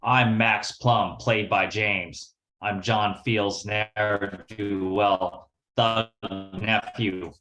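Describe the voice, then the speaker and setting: A man's voice: lowish voice, steady tone, very slow, bright, forceful tone, heavily acted, poor audio. An older man playing a greedy, ne'er-do-well thug nephew in a radio drama. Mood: resentful.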